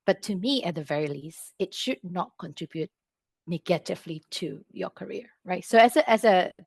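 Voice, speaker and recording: Low, dry-voiced woman panelist. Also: expressive, moderate pace, creaky, breathy edge, dry recording. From Indonesia. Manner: conversational, repeats words, ends points with "right?". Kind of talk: panel discussion answer. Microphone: video call.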